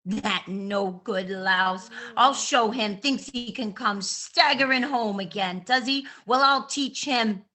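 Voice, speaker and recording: Domineering scolding wife played by a woman, high sharp voice, slow emphatic delivery, vintage radio drama. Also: full character voice. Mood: furious. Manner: scolding, suspicious. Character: furious domineering wife hunting her drunken husband. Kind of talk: 1950s old-time radio acting.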